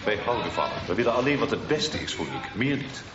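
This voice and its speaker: Actor as a deep-voiced, protective father. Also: expressive, a little fast, natural voice. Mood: protective, sincere. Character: gentle, protective, proud father. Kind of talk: radio drama acting. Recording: muffled, echoey, very noisy, distant.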